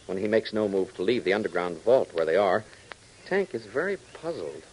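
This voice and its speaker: A man's voice: lowish voice, lively intonation, moderate pace, a little mellow, a little hiss or hum, rough recording. A man working as a 1940s radio announcer, reading scripted narration in a dramatic, emphatic style.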